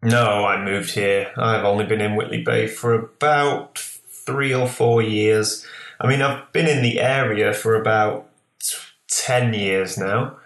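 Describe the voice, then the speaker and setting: A man's voice: fairly low pitch, fairly even intonation, slow pace, a bit bright, echoey room. A young man from Lancashire, answering interview questions in an easygoing way with lots of fillers. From Lancashire, northwest England. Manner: easygoing, filler-heavy, repeats words. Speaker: guest photographer.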